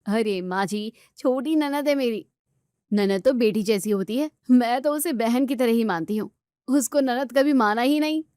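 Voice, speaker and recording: Woman as a high-voiced, doting young sister-in-law. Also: moderate pace, no room sound, clean studio sound, natural voice. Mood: affectionate. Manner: animated, fussing, affectionate.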